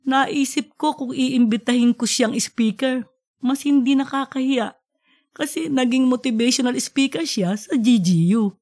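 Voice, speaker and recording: Woman acting a distraught, guilt-ridden woman professor, high-pitched. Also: a little slow, a little mellow. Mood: regretful. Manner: emotional, self-blaming.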